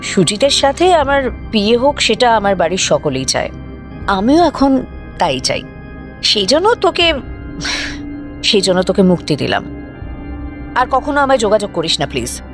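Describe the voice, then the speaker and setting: An actress playing a cold, bitter young girlfriend in an audio drama, speaking in deliberate, accusing phrases. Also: mid-range voice, sing-song, faint music behind, close to an everyday voice. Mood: cold, dismissive. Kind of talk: Bengali audio drama acting.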